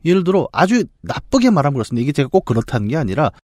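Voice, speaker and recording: A man explaining ideas on a studio talk show, quick and self-correcting, on a studio mic. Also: on the high side, very animated, a little mellow, no room sound. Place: small radio studio. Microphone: studio mic with headphones.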